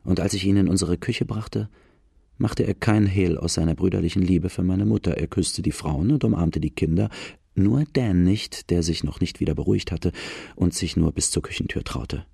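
An actor playing an outlaw recounting his boyhood, as gravelly first-person narration in a radio drama. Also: low voice, normal speed, dark tone, no room sound, studio quality, exaggerated voice. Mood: reflective.

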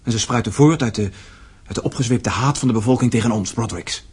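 An actor in a radio play playing a serious, dutiful young son and heir, earnest and blunt. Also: male voice, mid-range voice, fast, darkish tone, husky. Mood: serious, foreboding. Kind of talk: Dutch radio play acting.

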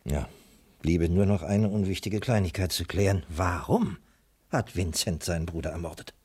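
A man's voice: deep voice, normal speed, a little mellow, slightly rough. An actor playing a skeptical, money-minded male private detective, sardonic and impatient, in a German radio crime drama. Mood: confident, dramatic.

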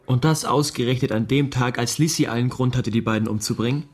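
A man's voice: medium pitch, a little fast, a little mellow, breathy edge, close to an everyday voice. An actor playing a smug, argumentative young man in a radio drama, confident, insistent and matter-of-fact. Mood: cocksure. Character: cocksure young know-it-all, argumentative, smug.

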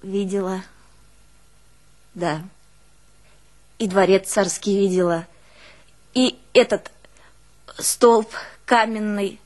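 A woman's voice: mid-range voice, slow, long pauses, lightly acted. An actress playing an artless, emotional peasant woman with a northern Russian rural dialect, telling her life in a theatrical folk monologue. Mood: artless recollection. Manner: folksy, expressive, exclaiming and musing aloud. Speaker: stage actress in a one-woman play.

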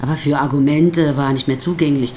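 An actress playing a sardonic, defiant pastor's wife in a radio drama, speaking with provocative, theatrical emphasis. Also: female voice, low voice, fairly even intonation, fast talker, warm tone, slight background noise, lightly acted. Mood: wry, narrating. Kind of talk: German radio drama acting.

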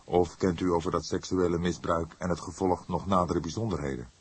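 A man voicing a calm, probing veteran police detective in an audiobook, with a friendly, measured manner. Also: deep voice, breathy edge. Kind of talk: audiobook character acting.

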